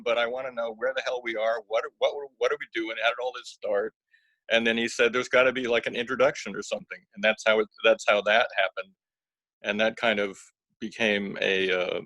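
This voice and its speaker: A man's voice: mid-range voice, sharp tone, no room sound, poor audio. A man in his fifties talking casually and measuredly about films on a video call from a small room.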